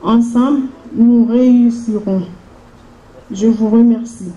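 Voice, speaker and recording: Slow, very mellow-voiced woman giving a formal address. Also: a little high, steady tone, a little hiss or hum. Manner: solemn, deliberate, in short measured phrases. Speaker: mayor giving a welcome address. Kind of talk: formal speech at a conference. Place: large room at a public conference. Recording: dull, echoey conference hall PA sound.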